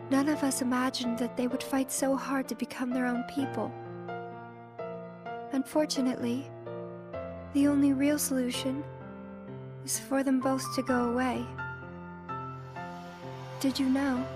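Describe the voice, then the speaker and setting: Wistful game girl, high voice, grave and pensive, music playing behind her. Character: solemn, wistful young girl in a fantasy game. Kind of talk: dramatic game cutscene acting. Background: new-age style music.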